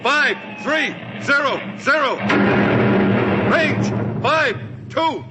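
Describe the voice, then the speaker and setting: A man playing a military lookout calling out ranges in 1940s radio drama, with loud, drawn-out call-outs. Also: extremely high pitch, animated, loud music behind the voice, exaggerated voice, low-quality recording. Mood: alert.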